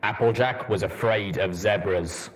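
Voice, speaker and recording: A man voicing a stern, grave old armored soldier pony ghoul in a low, rumbling, weighty voice for a story reading. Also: unhurried, close to an everyday voice. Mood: solemn, reflective.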